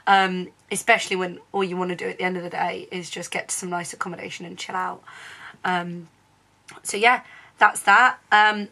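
Young female vlogger, bright voice, chatty, hoarse from a cold in later clips. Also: mid-range voice. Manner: chatty, lots of "um" fillers. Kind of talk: casual vlog chat. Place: bedroom at home.

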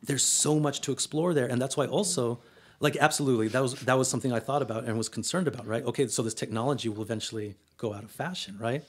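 An enthusiastic man in his late thirties from El Paso speaks as an interview guest. He has a mid-pitched voice and talks in loose, energetic runs, tagging nearly every thought with "right?". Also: fast talker, breathy edge. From El Paso, Texas, US. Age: 30s. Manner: energetic, filler-heavy, keeps adding "right?". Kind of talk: casual interview conversation.

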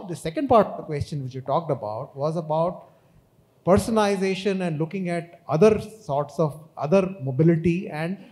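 A man in his fifties answers on a conference stage, his voice mellow and set fairly high for a man. He speaks in a measured way, stopping and restarting often with fillers, into a handheld microphone. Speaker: panelist, auto industry executive. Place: large conference hall stage.

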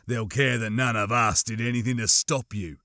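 A man's voice: mid-range voice, steady tone, normal speed, bright, forceful tone, no room sound, clean studio sound. Man acting a grave, level-headed soldier. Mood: grim. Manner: heavy, serious.

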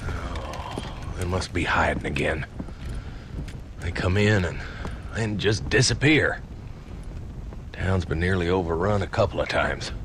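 An actor playing a tough, weary old frontier marshal in a Western video game, gruff and dryly sarcastic with an American Western accent. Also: male voice, deep voice, a little slow, long pauses, background noise. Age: older. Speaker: town marshal.

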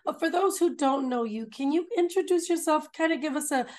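A woman's voice: high-pitched, brisk, a little mellow. A woman in her thirties hosting an interview over a video call, speaking in an upbeat, enthusiastic way. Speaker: host. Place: home room.

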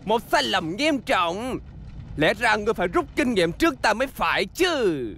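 A man's voice: sits high, big pitch swings, fairly bright tone, exaggerated voice. A man voicing a self-assured, teasing old grandfather in a cartoon dub. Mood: mock solemn. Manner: unhurried, taunting, self-assured. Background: background music.

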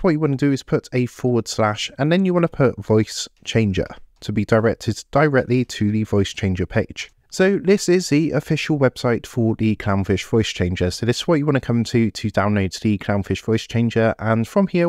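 A man walks viewers through a software setup one click at a time, with a mid-pitched voice and an easygoing, repetitive way of explaining. The sound is close and dry, with almost no room in it. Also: a little fast, few pauses, no echo. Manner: steady step-by-step instructions, repeats "what you wanna do". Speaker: tech tutorial presenter. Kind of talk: screen-recorded software tutorial. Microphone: desktop USB microphone.

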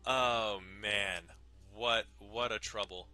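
Low, very bright male narrator. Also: steady tone, takes it slowly. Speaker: dramatic reader. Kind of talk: dramatic narration of a visual novel.

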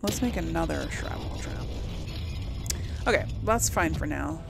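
A woman's voice: low voice, animated, gravelly, over music. A woman casually commenting on her gameplay, musing aloud and talking to herself. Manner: slow, musing, talks to herself. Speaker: gameplay host. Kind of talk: casual let's play commentary.

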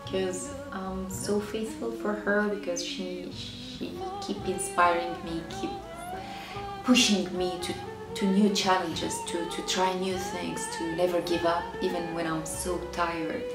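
A woman from Syria in her thirties, speaking English in a hesitant, reflective way, pausing and repeating words as she searches for them. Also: fairly low pitch, fairly even intonation. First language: Arabic. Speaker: singer. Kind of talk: reflective interview answers. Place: bright living room, seated on a sofa. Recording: roomy, distant, music behind.

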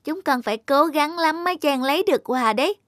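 A woman's voice: high voice, lively intonation, a little fast. A woman voicing a sweet, earnest little girl in a cartoon, childlike in manner. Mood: eager, proud. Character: sweet, earnest little girl, eager to please her father. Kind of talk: acted cartoon dialogue.

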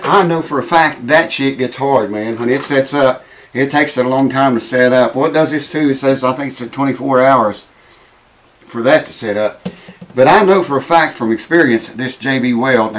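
A man, hoarse and losing his voice, chatting casually to camera in a small home workshop. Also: medium pitch, slight background noise. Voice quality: raspy. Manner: rambling, folksy, swears casually. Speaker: guitar repair vlogger. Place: small cluttered home workshop with mounted animal heads, indoors.

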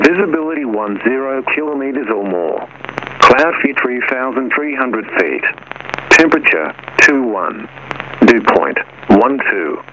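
A man's voice: mid-range voice, bright, forceful tone, highs cut off. A man reading a scripted airport weather broadcast in short phrases over aviation radio. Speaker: airport weather information announcer. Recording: faintly noisy radio broadcast.

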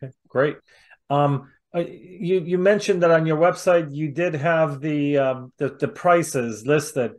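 Halting male interviewer, slightly high voice. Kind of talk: casual interview.

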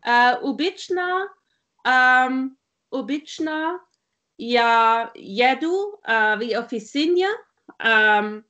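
A woman with a German accent and German as her first language, practicing conversation as a learner, halting, with many filler sounds and restarts, on a video call from home. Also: high-pitched, lively intonation, pushed and bright.

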